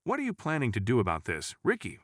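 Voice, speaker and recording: A man's voice: medium pitch, wildly swooping pitch, fast talker, dry recording, clean studio sound. A man voicing an anxious, nervous woman who is the heroine of a crime story. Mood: wary.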